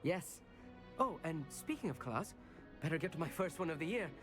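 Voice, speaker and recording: A man's voice: fairly high pitch, animated, moderate pace, over music, lightly acted. A man playing an eager, bookish teenage boy at a wizard school, earnest and polite in a game. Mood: eager, friendly. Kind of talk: scripted game dialogue.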